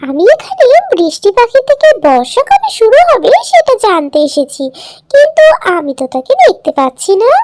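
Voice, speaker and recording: A woman's voice: very high voice, wildly swooping pitch, moderate pace, darkish tone, a little hiss or hum, voice pushed up, exaggerated voice. A woman voicing an innocent, earnest little female baby bird in a children's cartoon.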